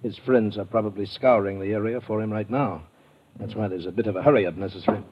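An actor playing a brisk British colonial police lieutenant with a stiff upper lip in a radio drama. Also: male voice, on the low side, husky. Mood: brisk briefing. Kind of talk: old-time radio drama acting. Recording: dull old radio recording.

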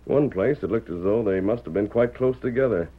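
An actor playing an earnest, commanding masked cowboy hero in an old-time radio western, reasoning aloud in crisp, formal sentences. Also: male voice, fairly low pitch, brisk, natural voice. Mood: earnest, pondering. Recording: tinny, narrow-band vintage radio recording.

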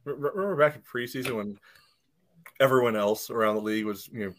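A man's voice: mid-range voice, quick pace, a bit bright. A man on a podcast panel, chatting casually about sport.

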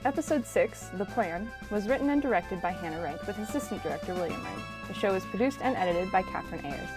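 A woman's voice: mid-range voice, animated, fast, over music, low-quality recording. A woman reading scripted podcast credits as an announcer.

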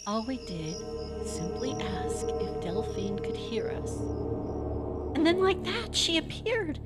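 An actress playing a shaken young mother who recounts a haunting in a radio drama, halting and hesitant. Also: on the high side, sing-song. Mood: haunted. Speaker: frightened mother telling a ghost story. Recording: loud music bed under the voice.

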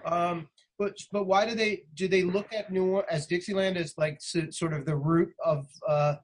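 Halting male interviewer, slightly high-pitched. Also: steady tone. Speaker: interviewer, host. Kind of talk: casual interview with guest. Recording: faint background noise.

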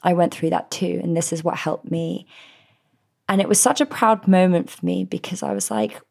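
Soothing low-voiced woman, gravelly edges. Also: very animated, moderate pace. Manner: gentle, soothing, heartfelt. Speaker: podcast host. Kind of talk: reflective solo podcast address.

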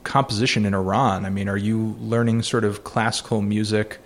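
A man's voice: fairly low pitch, level tone, a little fast. A man hosting a podcast, asking questions hesitantly with many repeats and fillers. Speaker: podcast host, interviewer. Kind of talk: casual interview conversation.

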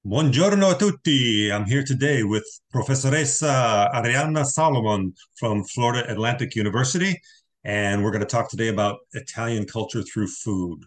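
A man hosting a podcast interview, chatting casually in a rambling, halting way. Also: medium pitch. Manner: rambling, halting, with frequent fillers and laughs. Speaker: podcast host.

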